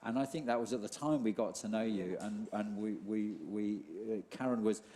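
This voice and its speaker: A middle-aged man leading an interview in church, talking haltingly with many restarts. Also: fairly low pitch, fairly even intonation, mellow. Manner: hesitant, many restarts and fillers. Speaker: church leader hosting an interview. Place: church hall.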